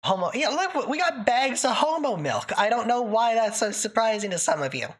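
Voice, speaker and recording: Husky-voiced woman streamer from Canada. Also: a little high, very animated, normal speed. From northern Canada. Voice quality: thick put-on-sounding accent. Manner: joking, chatty, laughs often. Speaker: livestream host. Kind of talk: casual livestream chat answering viewers.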